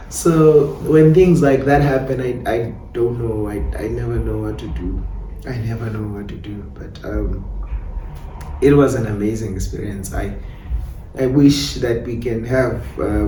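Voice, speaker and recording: A South African man talking reflectively in an interview, hesitant and animated, often repeating himself. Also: medium pitch. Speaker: playwright and director being interviewed. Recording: roomy, distant mic with faint noise.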